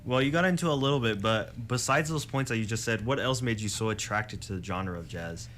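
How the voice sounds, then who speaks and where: Low-voiced male radio host. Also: fairly quick, a bit bright, a little hiss or hum. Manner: brief encouraging backchannels. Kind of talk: interview hosting. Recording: radio studio with faint music behind.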